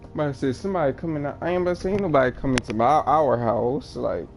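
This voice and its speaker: Male streamer, mid-pitched, casual and rambling, faint music under him. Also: fast talker. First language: English. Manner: rambling, lots of "like" fillers. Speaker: livestreamer. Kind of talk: casual chat while gaming.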